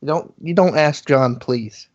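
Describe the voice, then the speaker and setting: A man's voice: a little high, measured pace. A man chatting casually on a group voice call, bossy and crude, swearing freely. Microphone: computer mic over an online call. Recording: dull lo-fi online call audio.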